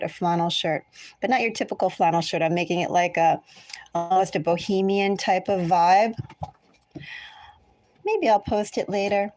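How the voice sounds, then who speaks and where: An American woman hosts a tarot livestream in a casual, chatty way, drifting into asides as she talks to viewers. Her voice sits at a middle pitch, and the sound is close and dry. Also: a little mellow, no echo. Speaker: tarot reader hosting a livestream.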